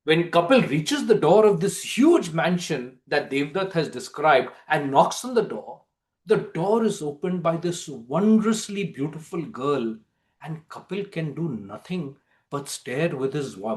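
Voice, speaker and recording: High-pitched Indian man telling a story dramatically. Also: big pitch swings, unhurried. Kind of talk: dramatic storytelling of a play synopsis.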